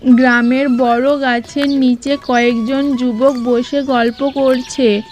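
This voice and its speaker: High-voiced, slow-paced woman storyteller. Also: little pitch movement, a little mellow, a little hiss or hum. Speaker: narrator. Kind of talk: scripted storybook narration for a cartoon. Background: background music.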